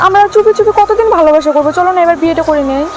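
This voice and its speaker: A woman's voice: very high-pitched, rapid-fire, background noise. A woman playing an affectionate young big sister in love in a short film drama, with music over her lines. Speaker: actress in amateur short film. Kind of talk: acted romantic drama dialogue.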